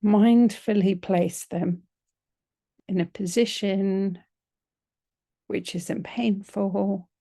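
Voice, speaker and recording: A woman teaching yoga nidra, leading a guided relaxation in a gentle, soothing, unhurried manner with long pauses. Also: mid-range voice, steady tone, no room sound.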